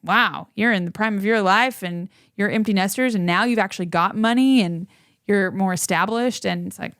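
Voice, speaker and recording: A chatty woman in her thirties, a guest on a podcast, who laughs easily as she talks. Her voice has a gravelly fry at the bottom, and the sound is close and dry, with no room around it. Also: medium pitch, normal speed, a bit bright, dry recording. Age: 30s. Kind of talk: casual chat.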